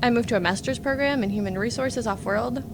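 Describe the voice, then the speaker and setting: Actress as a high-voiced teasing confident woman. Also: fairly quick, natural voice. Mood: wry teasing. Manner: wry, playful, friendly. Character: teasing, self-assured professional woman, an old flame. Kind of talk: comedic audio drama acting. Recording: clear background noise with rumble.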